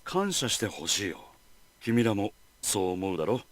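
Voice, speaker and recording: An actor playing a smooth-talking, condescending young leader in a visual novel, talking lightly and casually. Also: male voice, fairly low pitch, sing-song, quick pace, no echo, faint music behind. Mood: breezy, condescending. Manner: light, casual, looking down on others. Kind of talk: Japanese visual novel voice acting.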